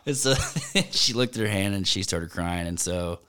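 Co-host, thirties male, low smooth voice, rambling comic story with swearing. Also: normal speed, bright, forceful tone. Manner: storytelling, swears often, mimics voices in quotes. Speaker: podcast co-host. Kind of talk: casual comedic chat.

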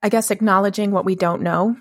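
A woman's voice: medium pitch, steady tone, quick pace, no room sound, clean studio sound. A woman in a conversational podcast discussion, speaking in a hesitant, tentative, reflective way.

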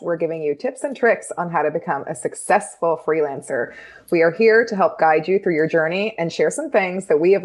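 Low-voiced woman co-hosting a podcast. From United States. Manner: measured, steady delivery with frequent ums. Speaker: podcast co-host. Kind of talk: casual podcast chat.

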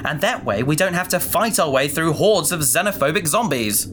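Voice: mid-range voice, animated, moderate pace, background noise, natural voice. An actor playing a caring, practical space adventurer in a sci-fi audio drama, with an earnest, reasoning way of talking. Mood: arguing, exasperated.

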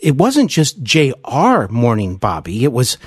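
An American man hosts a conversational interview. His voice sits at an average pitch and he phrases things earnestly, with some hesitation. The recording is dry, with little room sound. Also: wildly swooping pitch, measured pace, breathy edge, dry recording. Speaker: podcast host, interviewer.

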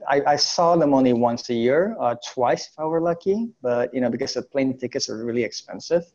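Halting man on a slightly dull call. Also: mid-range voice, darkish tone. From Indonesia, later United States. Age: 40s. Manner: halting, self-correcting. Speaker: interview guest, entrepreneur. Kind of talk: casual conversational interview. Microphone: remote call.

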